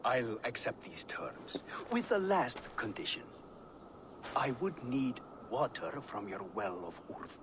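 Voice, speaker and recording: Courteous, cunning builder, animated pitch. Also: male voice, slow pace, background noise. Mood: solemn. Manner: deferential, eloquent, formal. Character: courteous, cunning, humble-seeming wandering master builder. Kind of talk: video game cutscene acting.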